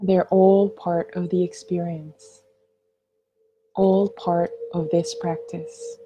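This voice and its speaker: A woman guiding a meditation in a gentle, soothing, unhurried voice, leaving long pauses between phrases. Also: fairly low pitch, level tone, slight background noise, low-quality recording. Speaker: meditation guide. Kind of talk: guided meditation narration.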